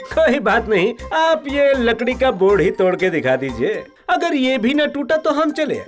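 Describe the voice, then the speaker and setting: A woman's voice: on the high side, sing-song, measured pace, faint music behind. A woman voicing a commanding male ghost boss who scolds and mocks in a kids' cartoon. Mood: pompous, demanding. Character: stern, mocking male ghost boss who punishes liars. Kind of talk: exaggerated kids' cartoon acting.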